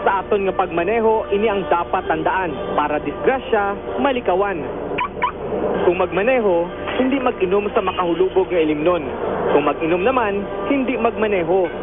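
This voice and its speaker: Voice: mid-range voice, normal speed. An announcer with a Filipino accent reading scripted public safety advice for radio. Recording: tinny AM radio, loud music.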